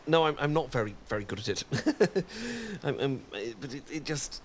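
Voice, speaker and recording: A man's voice: a little high, sing-song, moderate pace, slight background noise. A British man in an ASMR roleplay, talking in a flustered, stammering, self-deprecating way with nervous laughs and sighs.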